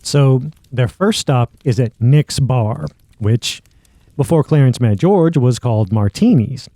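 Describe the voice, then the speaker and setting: A man's voice: mid-range voice, animated, a little slow, dark tone, dry recording. A man hosting a podcast, giving linking commentary between story excerpts. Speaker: podcast host. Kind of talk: commentary linking radio drama clips.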